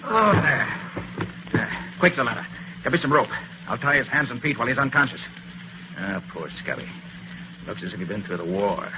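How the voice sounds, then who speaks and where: An actor playing a quick-witted, decisive male detective in an old radio drama. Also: mid-range voice, lively intonation, close to an everyday voice. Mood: brisk, concerned. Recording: muffled, noisy old radio recording.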